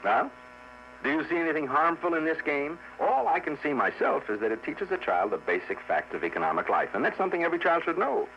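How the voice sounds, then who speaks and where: Animated spaceship captain, old radio. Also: male voice, a little high, quick pace. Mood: affable. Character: spaceship captain, shrewd, brisk, smug board-game player. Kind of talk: 1950s radio drama acting. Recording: muffled 1950s radio recording with hum.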